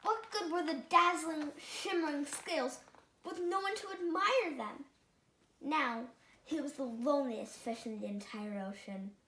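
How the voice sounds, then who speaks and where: Young girl, very high pitch, storybook read-aloud, echoey room. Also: a little slow, pushed and bright, slight background noise. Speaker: storybook reader. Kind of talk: read-aloud of a picture book with asides. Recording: roomy echoing room sound.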